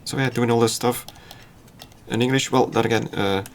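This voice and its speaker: A man whose first language is Dutch, casually talking through his game on a livestream in a halting, thinking-aloud way. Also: mid-range voice, fairly even intonation, a little hiss or hum. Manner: halting, self-deprecating, thinks aloud with fillers. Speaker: streamer.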